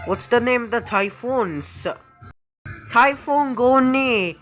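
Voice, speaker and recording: A woman talking casually in halting fragments as she improvises a story roleplay. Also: on the high side, over loud music. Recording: loud vehicle and ambient noise.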